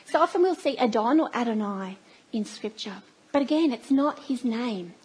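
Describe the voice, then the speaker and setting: Middle-aged Australian woman, preacher; high, husky voice, storytelling with playful asides. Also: lively intonation, moderate pace, darkish tone. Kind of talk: sermon.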